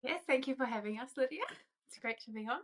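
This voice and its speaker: A woman's voice: sits high, fast, a bit bright. A woman with a New Zealand accent, chatting casually as a podcast guest. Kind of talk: casual chat.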